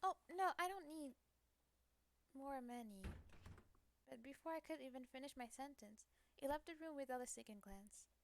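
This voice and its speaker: A woman's voice: high voice, normal speed, long pauses, a little hiss or hum. A woman streamer reading a visual novel aloud, acting out the lines and adding her own asides. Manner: acts out lines with ad-libbed asides. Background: game music.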